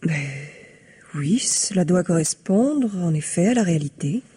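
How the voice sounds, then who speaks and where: An actress playing a composed, guarded married woman in a French radio mystery, speaking in a measured, formal, carefully worded way. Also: lowish voice, lively intonation, dark tone, husky, exaggerated voice. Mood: composed, defensive.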